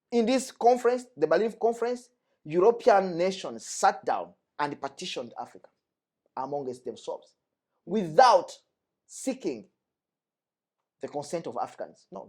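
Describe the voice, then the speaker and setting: A man's voice: fairly high pitch, darkish tone. A young man from the Democratic Republic of Congo, speaking earnestly and with emphasis as a guest, repeating his key points. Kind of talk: earnest discussion.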